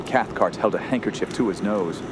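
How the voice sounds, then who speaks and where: A man's voice: fairly low pitch, brisk, heavy background noise, natural voice. A man playing a haunted young nephew who narrates a horror radio play in a grave, ornate, ominous manner. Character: dread-stricken young nephew recounting a horror. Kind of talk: scripted first-person horror narration. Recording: loud music bed under voice.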